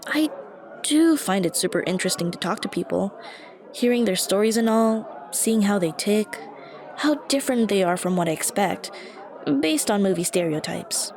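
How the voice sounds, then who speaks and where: A woman's voice: medium pitch, lively intonation, moderate pace, a little mellow, lightly acted. A woman playing a shy, anxious young stranger who rambles hesitantly and self-consciously to the listener in an ASMR roleplay. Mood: anxious, rambling. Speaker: roleplay voice actress. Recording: busy cafe chatter behind voice. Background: coffee shop crowd murmur.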